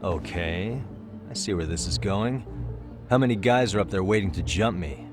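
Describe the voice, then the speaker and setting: A man playing a calm, wry detective who is patient with teenagers, in a laid-back video game cutscene style. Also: sits low, normal speed. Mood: wry, skeptical. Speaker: private detective advising a school club. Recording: music behind the voice.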